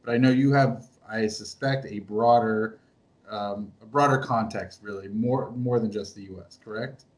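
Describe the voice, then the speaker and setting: A man's voice: lowish voice, moderate pace. Easygoing male podcast host. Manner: relaxed, easygoing questions. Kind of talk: casual interview.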